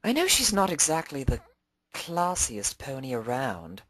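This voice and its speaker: A woman's voice: a bass voice, very animated, a little slow, no room sound, pitched below the performer's own voice, full character voice. A woman voicing a refined, prim female pony cellist with polite, formal phrasing, in a fan fiction story reading. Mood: puzzled, prim.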